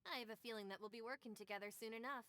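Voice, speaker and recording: A woman playing a capable, composed and polite young gang deputy in an anime video game, speaking in a courteous, measured way. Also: fairly high pitch, bright, forceful tone. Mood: calm, courteous.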